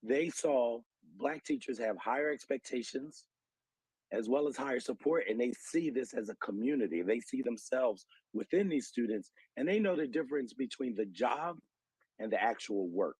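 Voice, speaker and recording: A man's voice: mid-range voice, lively intonation, moderate pace, darkish tone, husky, no room sound, poor audio. An American man giving earnest, articulate explanations as an interview guest, citing research and figures like an advocate.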